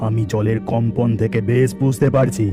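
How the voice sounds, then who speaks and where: A man's voice: medium pitch, flat delivery, lightly acted. Man voicing a solemn, wise water god. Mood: urgent. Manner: measured, solemn. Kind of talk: cartoon voice acting. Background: background music.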